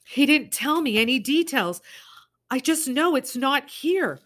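A woman's voice: medium pitch, steady tone, moderate pace, a bit bright, pitched above the performer's own voice, natural voice. A woman reading aloud, voicing a frightened young mother who pleads and cries. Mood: pleading.

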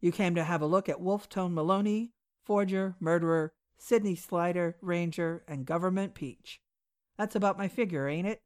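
A woman voicing a gruff, bitter male convict with a hard, rasping voice, swinging between rage and oily politeness. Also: lowish voice, moderate pace, a little mellow, natural voice. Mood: sly, obsequious.

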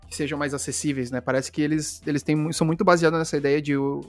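A man's voice: fairly high pitch, fairly even intonation, quick pace, faint music behind. A man interviewing a guest in a casual chat, talking loosely and searching for his words. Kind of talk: casual conversation.

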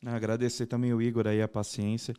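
A man hosting a podcast, relaxed and friendly, talking with casual slang. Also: mid-range voice, a little fast, clear, resonant tone. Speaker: podcast host. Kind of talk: casual interview chat.

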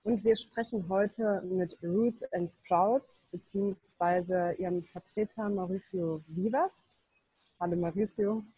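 A woman's voice: mid-range voice, slow pace, warm tone, slightly rough, dry recording, poor audio. A woman hosting an informal radio interview, introducing and questioning in a conversational way. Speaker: interview host.

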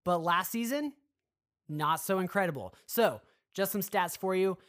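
A man's voice: sits high, measured pace, a bit bright. A man co-hosting a sports talk show, giving commentary in an emphatic way and stretching key words.

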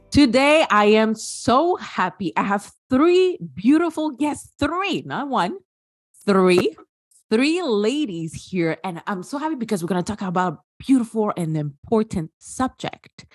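Expressive woman host, pitch swings widely, eager and emphatic, dry close sound with faint music. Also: slightly rough, no room sound. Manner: enthusiastic, repeats words for emphasis. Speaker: podcast host. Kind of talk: warm conversational podcast hosting.

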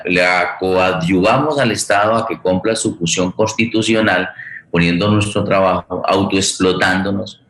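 A man with a Colombian accent talking conversationally about his views as a forum host, halting and repeating himself as he searches for words. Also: low-pitched, a bit bright. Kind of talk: conversational political and cultural discussion. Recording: echoey room sound.

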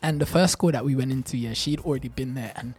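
Young adult podcast co-host, Jamaican-born. Animated, slangy storyteller who laughs easily. Music underneath. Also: medium pitch, fast talker. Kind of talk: casual chat.

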